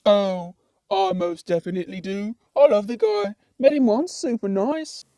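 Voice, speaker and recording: A woman's voice: medium pitch, expressive, measured pace, dark tone, dry recording, clean studio sound. A woman playing a laid-back, chatty ordinary demon in a comic puppet show, with a casual, rambling, teasing way of talking. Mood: easygoing, chatty.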